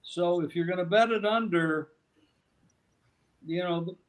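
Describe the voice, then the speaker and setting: A man's voice: high voice, a little slow, rough recording. A man giving betting analysis in a hesitant way, with restarts and a laugh as he talks. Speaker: betting handicapper.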